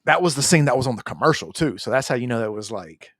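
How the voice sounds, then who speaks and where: A man's voice: mid-range voice, animated, quick pace, a bit bright, no room sound, clean studio sound. A man co-hosting a gaming podcast, talking in a loose, slangy, repetitive way as he chats casually. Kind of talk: casual chat, counting down favorites.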